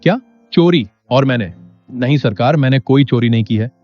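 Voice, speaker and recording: A man playing a naive, honest poor farmer in an animated moral story, earnest and deferential. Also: medium pitch, normal speed, natural voice. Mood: alarmed, pleading. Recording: dull lo-fi sound under music.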